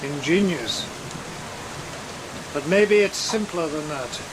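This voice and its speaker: A sceptical, commanding sea captain in a gothic radio play. His voice is high-pitched and his delivery reasoned and deliberate. Rain hisses clearly behind him. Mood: grim reasoning. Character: troubled, sceptical male ship's captain, authoritative and reasoning. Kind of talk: gothic horror audio drama acting. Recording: loud steady rain noise.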